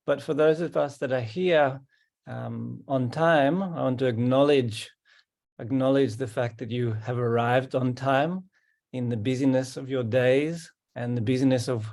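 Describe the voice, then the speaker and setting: A man hosting an online meeting, welcoming each participant calmly and often repeating his words. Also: medium pitch, measured pace, vocal fry. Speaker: host. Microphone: video call.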